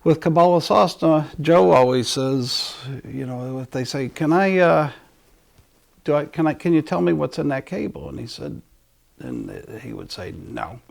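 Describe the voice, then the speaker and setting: A man's voice: a little high, very animated, a little slow, creaky. A man hosting an audio channel, talking casually to his listeners in a rambling way, often restarting sentences mid-thought.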